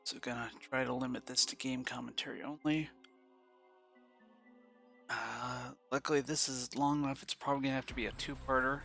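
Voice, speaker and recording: Man, stuffy congested voice, gravelly edge, rambling game commentary. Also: mid-range voice, flat delivery, normal speed, sharp tone, soft background music. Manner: loose, rambling delivery with fillers. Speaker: let's play host.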